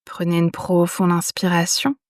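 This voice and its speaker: A woman guiding a yoga nidra meditation in a soothing, unhurried manner, leaving long pauses between phrases. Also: on the low side, monotone, dry recording, clean studio sound. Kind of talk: guided meditation.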